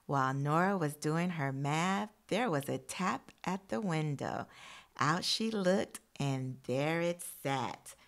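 A woman's voice: fairly low pitch, vocal fry, fairly bright tone. A woman teacher reading a picture book aloud expressively to young children, adding encouraging asides addressed straight to them.

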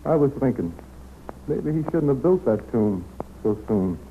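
An actor playing an earnest, plain-spoken brother of a murdered man in 1930s radio drama, speaking deliberately. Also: mid-range voice, lively intonation. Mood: sober, earnest. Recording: muffled, hissy 1930s radio recording.

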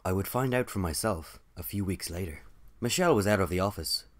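Low-pitched male narrator, an actor, dry and put-upon, telling an office story for audio drama. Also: lively intonation, a little fast. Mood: wry. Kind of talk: scripted first-person narration.

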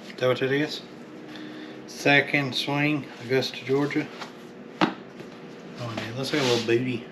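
A man's voice: medium pitch, steady tone, unhurried. A man, chatting offhand as he sorts through a storage unit. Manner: drawling, offhand, calls things slick. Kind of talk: casual chat while unboxing. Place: metal storage unit, indoors. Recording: loud clanking background noise.

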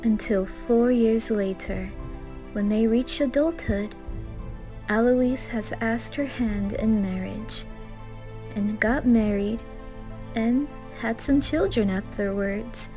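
A woman's voice: a little high, slow pace, mellow, soft background music. A woman narrating a story as its storyteller.

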